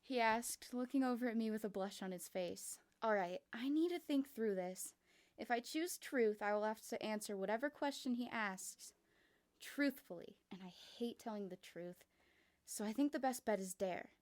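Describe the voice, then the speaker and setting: A woman reading a first-person fan fiction story aloud, in a quick, lively narration. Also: a little high.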